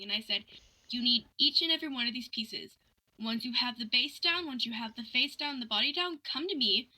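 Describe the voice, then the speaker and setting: A young woman chatting casually, telling a story in an animated, ranting way and acting out both sides of conversations. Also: on the high side, low-quality recording.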